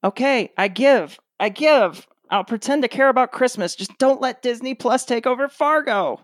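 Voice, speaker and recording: A woman's voice: fairly high pitch, normal speed, no room sound, lightly acted. A woman playing a dramatic, exasperated, grumpy character. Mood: exasperated pleading. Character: exasperated, grumpy woman who dislikes people.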